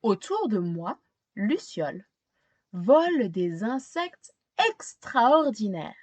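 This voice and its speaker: A woman reading a children's picture book aloud expressively, pausing to put simple questions to young listeners. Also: medium pitch, sing-song, dry recording. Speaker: storytime reader.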